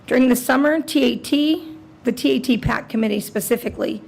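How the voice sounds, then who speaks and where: A woman who is president of a teachers' union, hoarse and raspy from laryngitis, reading a prepared statement at a school board meeting. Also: a little high, brisk.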